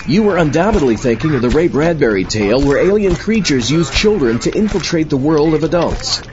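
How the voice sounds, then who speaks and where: A man's voice: on the high side, expressive, a little fast, muffled recording. A man hosting a podcast, giving a scripted introduction to old radio shows. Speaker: podcast host.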